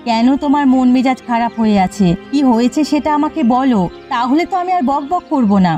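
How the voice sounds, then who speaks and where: A woman's voice: sits high, fairly quick, dark tone, soft background music, lightly acted. A woman voicing a nagging, prying adult village wife in a cartoon. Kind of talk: cartoon dub acting.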